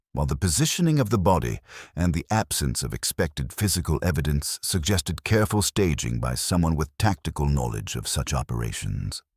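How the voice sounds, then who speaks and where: A man with an extremely low, deep voice narrates a mystery story. His delivery is formal and measured, yet his pitch moves in a lively way. The sound is dry and close, with no room around it. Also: no room sound. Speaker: audiobook narrator.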